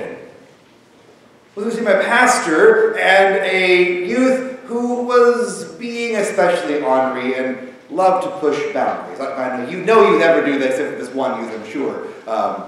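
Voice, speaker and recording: A man in his thirties preaching a sermon from a church pulpit, talking in a conversational, animated way. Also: a little high. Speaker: pastor. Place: large church sanctuary with pipe organ. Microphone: far camera across the sanctuary. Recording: echoey sanctuary from far off, faint hiss.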